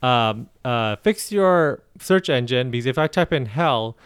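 A man co-hosting a podcast, giving strong opinions in a halting, restart-filled way. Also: mid-range voice, animated, fairly bright tone, slight background noise. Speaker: podcast co-host. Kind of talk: casual chat.

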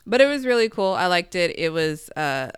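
A woman's voice: on the low side, sing-song, normal speed, clear, resonant tone, no room sound, studio quality. A woman co-hosting a casual podcast chat. She rambles and searches for words, with lots of fillers. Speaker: podcast co-host.

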